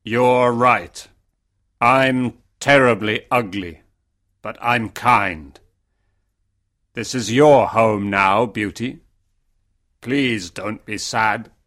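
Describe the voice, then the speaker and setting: An actor playing a lonely, kind, ugly beast who rules a castle, speaking solemnly and courteously in a storybook reading. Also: male voice, lowish voice, very slow, pauses often, fairly bright tone. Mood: humble, gentle. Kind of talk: dramatised storybook reading for learners.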